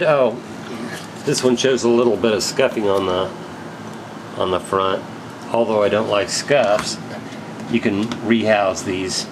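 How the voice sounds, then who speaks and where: A man giving a casual, unscripted product rundown as a gear reseller, halting and technical in a matter-of-fact way. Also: on the low side, noisy background. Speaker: radio equipment reseller presenting gear.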